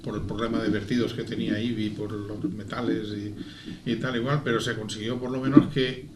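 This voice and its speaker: An older man makes his case in a panel debate, earnest and explanatory. His voice comes from a distance in a roomy space, under loud noise. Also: lowish voice, little pitch movement, moderate pace. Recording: echoey room, distant mic, loud noise.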